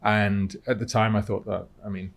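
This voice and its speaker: A British man in his thirties telling his personal story conversationally as a podcast guest, reflective and a little halting. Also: on the low side, flat delivery, creaky. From Cardiff, Wales. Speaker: podcast guest, content creator and model. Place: podcast studio. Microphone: close studio mic on desk stand.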